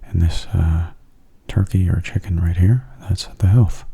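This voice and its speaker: A man giving soft-spoken, hushed ASMR commentary on a video game, slipping into whispers, close to the microphone. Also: low voice, a little slow, gravelly, breathy edge.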